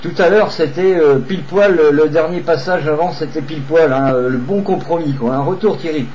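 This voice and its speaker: Higher-voiced man chatting on CB radio. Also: brisk, darkish tone. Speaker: amateur CB radio operator. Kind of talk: radio contact chat. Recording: dull, slightly noisy CB radio recording.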